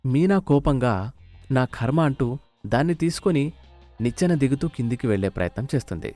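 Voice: medium pitch, lively intonation, brisk, dark tone. Story narrator over light music.

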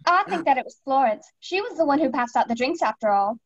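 A woman's voice: high-pitched, animated, fast. A woman playing a defensive, accusing young female suspect in a radio mystery play, on a dull roomy recording.

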